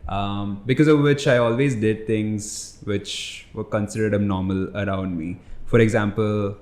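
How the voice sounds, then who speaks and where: A man's voice: lowish voice, steady tone, measured pace, darkish tone. A young man who grew up in India, a startup founder guesting on a podcast, telling loose reflective stories with lots of "like" and "you know". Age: young adult. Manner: reflective, loose storytelling with many fillers. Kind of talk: casual podcast conversation. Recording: roomy echo.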